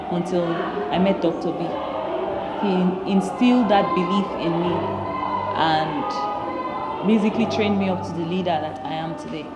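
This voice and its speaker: A young adult woman giving a personal, spoken testimonial about a mentor. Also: lowish voice. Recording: echoey room, loud music over the voice.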